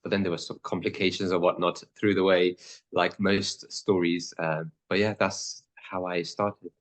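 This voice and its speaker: A man's voice: low-pitched, level tone, normal speed. A man in his thirties, a lawyer guest, answering interview questions hesitantly with many fillers and restarts. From born in Pakistan, raised in the Netherlands.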